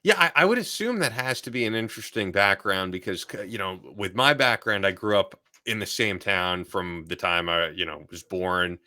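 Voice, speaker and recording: Deep, bright-toned podcast host. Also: male voice, moderate pace, few pauses, no room sound, clean studio sound. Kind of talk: interview conversation. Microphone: studio mic on video call.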